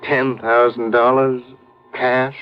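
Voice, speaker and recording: A man's voice: mid-range voice, flat delivery, clear, resonant tone, lightly acted. Man as a cagey, oily radio crime boss. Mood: guarded, cagey. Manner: oily formal politeness, guarded, deliberate hesitations. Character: smooth, cagey male crime boss fronting as lender. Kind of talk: 1940s-50s radio crime acting. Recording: muffled old radio recording.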